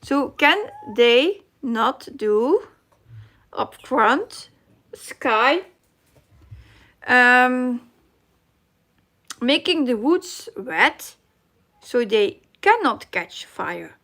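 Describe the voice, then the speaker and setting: Middle-aged dutch lady chatting slowly on her live stream, high voice, stops to find the english word. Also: sing-song, fairly bright tone. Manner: halting, searching for English words, reads chat aloud. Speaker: cross-stitch live stream host. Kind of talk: casual live chat reading comments.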